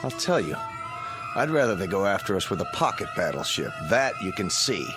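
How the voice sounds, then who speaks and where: A man's voice: low voice, big pitch swings, fairly quick. An actor playing a brash, sardonic, talkative American male official in a vintage television drama, blunt and wisecracking. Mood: pointed. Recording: old television soundtrack, faint music behind.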